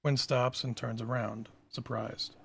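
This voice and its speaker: Gravelly, husky male narrator. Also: medium pitch, level tone, measured pace, a bit bright. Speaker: narrator reading stage directions. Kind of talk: scripted narration at a table read.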